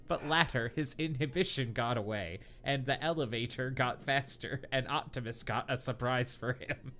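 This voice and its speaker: A man's voice: medium pitch, normal speed, pushed and bright, a little hiss or hum. Man reading aloud with mock earnestness. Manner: reads with mock earnestness, breaks into laughter. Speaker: podcast host reading fanfiction aloud. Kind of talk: comedic dramatic reading with sarcastic asides.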